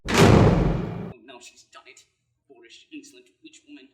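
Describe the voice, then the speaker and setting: A woman's voice: measured pace, heavy background noise, close to an everyday voice. A young woman in a student radio play voicing a prim castle servant who mutters and scolds. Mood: scolding.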